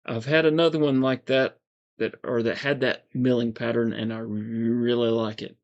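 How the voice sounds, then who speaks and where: A man with a calm, soothing voice, hosting a casual livestream, reading viewer comments in a relaxed, meandering way. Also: medium pitch, normal speed, dull audio. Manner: relaxed, meandering, frequent fillers and soft chuckles. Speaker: livestream host.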